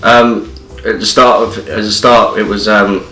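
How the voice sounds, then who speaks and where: A man's voice: fairly low pitch, a little slow, bright, forceful tone. A young man with an English accent, a qualified apprentice who answers hesitantly with many fillers. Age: young adult. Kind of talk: casual interview answers. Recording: distant, roomy, with music.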